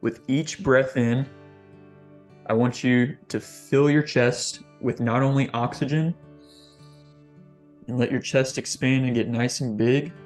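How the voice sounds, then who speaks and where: A man, a fitness coach, leading a guest's guided meditation on a podcast, slow and deliberate. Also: medium pitch, steady tone, soft background music.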